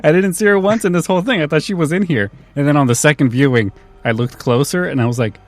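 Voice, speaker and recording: A man's voice: a little high, fast talker, no room sound, soft background music. A man hosting a casual film discussion, bluntly and jokingly complaining. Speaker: podcast host.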